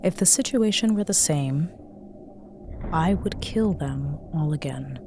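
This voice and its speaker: A hard, accusing female captain in a space audio drama. Her voice is fairly low and very slow, dripping with sardonic contempt, over faint background noise. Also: animated, gravelly, full character voice. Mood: bitter, sardonic. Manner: biting, deliberate, contemptuous. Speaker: voice actor.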